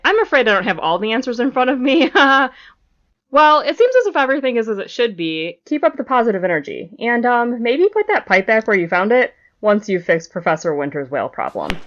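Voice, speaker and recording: A woman's voice: fairly high pitch, sing-song, a little fast, fairly bright tone, muffled recording. A woman playing an officious academic in an audio drama, polite and probing with forced corporate cheer. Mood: officious, upbeat.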